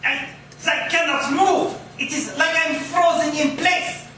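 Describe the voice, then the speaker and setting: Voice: high voice, fairly even intonation, measured pace, pushed and bright. An actor playing a menacing, ruthless Nazi leader in theatrical, commanding old-time radio style. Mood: angry, frantic. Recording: echoey live coffee house, distant mic, noisy.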